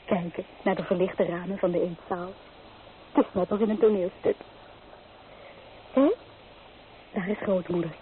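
An actress playing an earnest, dreamy young bride-to-be in an old Dutch radio play, expressive and gently reproachful. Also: female voice, on the low side, normal speed, pauses often, close to an everyday voice. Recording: muffled, noisy old radio recording.

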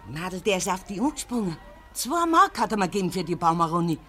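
A brash market woman with a forceful, sharp voice and a Bavarian accent. She talks like a nosy, pushy street hawker in a 1950s dialect radio play. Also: fairly low pitch, big pitch swings, quick pace, faint music behind. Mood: pushy, eager. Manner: calls out wares like a street vendor. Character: brash, nosy female street chestnut seller.

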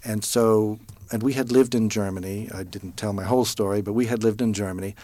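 A middle-aged man who works as a translator, giving thoughtful interview answers and pausing now and then to find a word. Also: on the low side, steady tone, dark tone, no room sound. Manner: thoughtful, pausing to search for words. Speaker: translator, former diplomatic interpreter.

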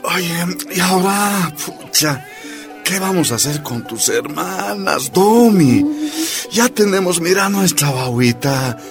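Un actor que interpreta a un joven minero boliviano, esposo reacio y preocupado, de hablar vacilante, en una radionovela. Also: male voice, sits high, expressive, music in the background, heavily acted. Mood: worried, complaining. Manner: hesitant, halting. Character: young Bolivian miner husband, reluctant, worried, grumbling. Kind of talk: radio drama acting.

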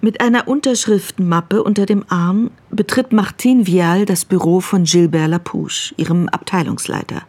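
A woman's voice: fairly low pitch, normal speed, few pauses, a little mellow. A woman narrating a German radio drama in a sober, precise scripted style. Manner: sober, precise present-tense telling. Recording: music behind the voice.

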